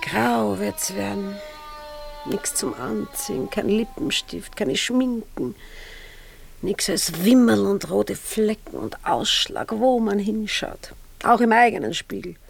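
An actress playing a snobbish, self-pitying Viennese baroness in a radio play, plaintive and affected. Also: female voice, mid-range voice, lively intonation, slow pace, creaky, a little hiss or hum, heavily acted. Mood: wailing self-pity. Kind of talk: radio play acting in dialect.

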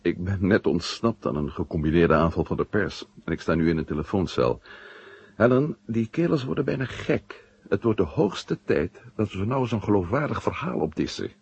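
Male journalist, confiding and wry, lowish and very lively, for a vintage sci-fi radio serial. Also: moderate pace, gravelly, slightly rough, dull audio, heavily acted. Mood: urgent, confiding. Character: adult male journalist, blunt, wry, worried about his brother. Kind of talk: 1970 Dutch science-fiction radio drama acting.

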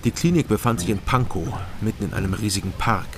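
A man's voice: fairly low pitch, fast, music in the background, lightly acted. An actor playing a laconic ex-policeman turned private detective, narrating a radio drama in a dry, matter-of-fact way.

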